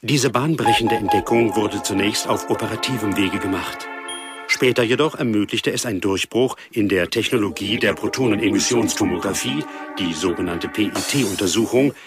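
An actor playing a formal, matter-of-fact narrator reading a scientific report in a radio drama. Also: male voice, fairly low pitch, moderate pace, over music, natural voice. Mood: sober, factual. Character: sober, factual male narrator of a scientific report.

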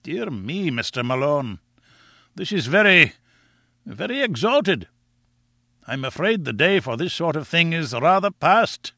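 Guy doing a crabbed but kindly old scottish news editor, pitched up, bright, audiobook style. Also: very animated, a little slow, pauses often, dry recording, clean studio sound, heavily acted. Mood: alarmed, discouraging. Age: older. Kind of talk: audiobook character dialogue.

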